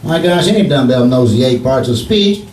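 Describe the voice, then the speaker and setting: A man's voice: mid-range voice, animated, moderate pace, a little mellow, lightly acted. Blustery folksy husband played by a man, slangy and mock-pompous, old-time radio comedy, distant mic in a roomy hall. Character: blustery, cocky, folksy husband, bad at grammar. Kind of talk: 1940s radio comedy acting. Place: theater stage before an audience. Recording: echoey live stage, distant mic, noise.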